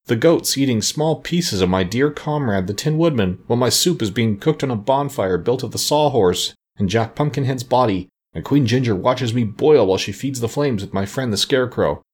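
Man as a pompous storybook insect scholar, wordy, self-pitying, raised swooping pitch. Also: big pitch swings, fast, slightly rough. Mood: mournful. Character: pompous, educated, self-pitying giant male bug. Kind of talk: children's storybook character voice.

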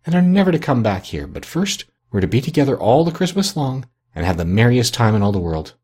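A man's voice: mid-range voice, sing-song, brisk, darkish tone, husky. A man voicing an eager, loving little girl full of childish glee in a storybook. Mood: gleeful.

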